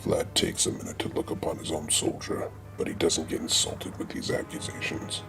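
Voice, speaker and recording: A man with a gravelly voice narrating a comedy script in a deadpan, slangy, mock-dramatic way. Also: normal speed. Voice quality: very deep, gravelly. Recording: music behind the voice.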